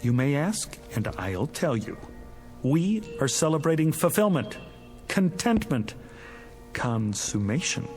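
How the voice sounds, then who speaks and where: An actor playing a lovesick, desperate, impetuous suitor, eager and effusive, in a vintage television drama. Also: male voice, a little high, big pitch swings, slow, darkish tone, faint music behind. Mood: excited.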